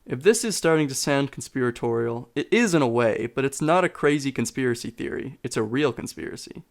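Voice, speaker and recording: A man's voice: medium pitch, animated, a little fast, creaky. A man delivering scripted video essay commentary in an informal, sarcastic way.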